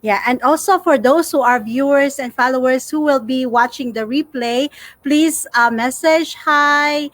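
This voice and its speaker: High-pitched Filipino woman hosting a livestream. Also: measured pace, a bit bright, dry recording. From Philippines. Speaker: co-host of a livestream. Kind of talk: casual livestream presenting.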